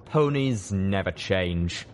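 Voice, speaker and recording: A man's voice: deep voice, lively intonation, takes it slowly, slight background noise. A man voicing a condescending, grandiose male pony leader, pompous and patronising, in an audiobook. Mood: condescending, amused. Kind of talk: dramatised audiobook storytelling.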